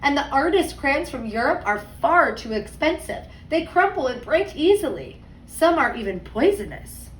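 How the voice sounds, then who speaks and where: A woman teacher reading a picture book aloud to young children, expressively and deliberately, stressing words and adding conversational asides. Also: high-pitched, sing-song. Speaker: second grade teacher. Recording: roomy echo, distant mic, faint noise.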